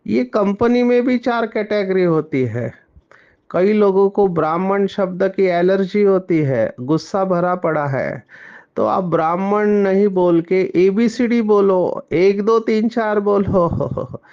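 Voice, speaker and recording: A man giving a spiritual discourse as a teacher, explaining slowly and mixing in English words. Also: high voice, lo-fi sound.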